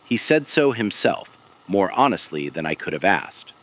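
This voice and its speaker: A man with a deep voice narrates a serialised investigative mystery. His delivery is grave and carefully phrased, with deliberate pauses and lively movement in his intonation. It sounds like a scripted podcast host laying out a case. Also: low-quality recording. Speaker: host of an investigative audio series.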